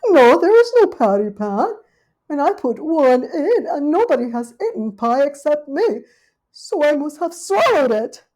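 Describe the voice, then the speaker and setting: A woman's voice: high-pitched, sing-song, a little slow, darkish tone, pitched above the performer's own voice, poor audio. A woman voicing a fretful genteel lady dog. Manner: worried, genteel, talking to herself. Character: fretful proper female dog, anxious and polite.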